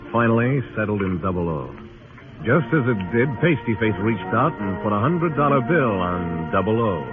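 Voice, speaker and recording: Low-voiced, laconic Western marshal. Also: male voice, big pitch swings, normal speed. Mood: terse, watchful. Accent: American. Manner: terse, dry. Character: laconic, tough Old West marshal. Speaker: lead actor and narrator in a radio western. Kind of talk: hard-boiled old radio drama narration and dialogue. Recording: tinny old radio recording with music.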